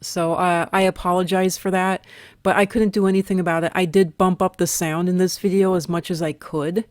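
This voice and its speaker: A woman narrating an art video in a casual voiceover, her voice still rough and weak from recovery, rambling and hesitant. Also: lowish voice, little pitch movement, a little fast, no room sound, studio quality. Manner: rambling, hesitant, with fillers and restarts. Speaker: artist vlogger.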